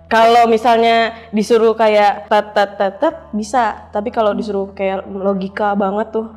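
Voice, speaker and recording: A woman's voice: medium pitch, level tone, normal speed, darkish tone, soft background music. A young adult woman, telling her story informally as a guest in a casual interview. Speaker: podcast guest, cyber security professional.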